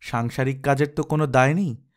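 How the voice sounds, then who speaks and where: A man's voice: mid-range voice, fairly even intonation, fairly quick. Male storyteller reading dramatically. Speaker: audiobook narrator.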